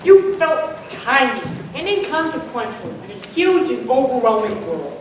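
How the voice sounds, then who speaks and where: Teenage female stage narrator, high and dramatic, comic storytelling, heard in an echoey room with clear background noise. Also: big pitch swings, moderate pace, distant mic. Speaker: student performer, narrator.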